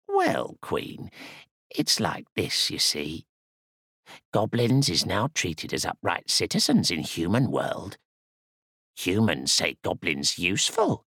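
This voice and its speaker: A cheeky little goblin, put on by a man, with a gravelly, husky voice that swoops up and down. He drawls slowly in broken grammar, stubborn and sly. The sound is dry and close. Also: sits low, wildly swooping pitch, fairly bright tone, no echo, full character voice. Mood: defiant.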